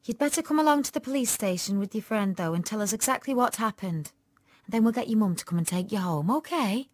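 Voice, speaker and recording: Kindly police officer played by a woman narrator: soothing and practical, with lilting, varied pitch, for a children's story. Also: lively intonation, a little fast, dry recording, close to an everyday voice. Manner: gentle, coaxing, matter-of-fact.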